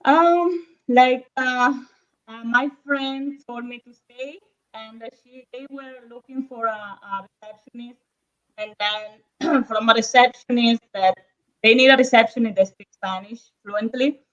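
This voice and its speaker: A woman's voice: fairly high pitch, unhurried, fairly bright tone. Italian-accented woman, muffled call audio. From Verona, northern Italy. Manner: hesitant, many fillers and restarts. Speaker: guest realtor. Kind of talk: casual interview chat.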